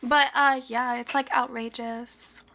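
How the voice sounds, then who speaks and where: A young woman co-hosting a podcast in a casual, gossipy chat, animated and slangy, swearing freely. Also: on the high side, takes it slowly. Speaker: podcast co-host.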